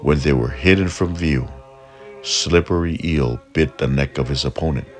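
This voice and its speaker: A man narrating a folk tale in a measured, deliberate storytelling manner. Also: extremely low pitch, faint music behind.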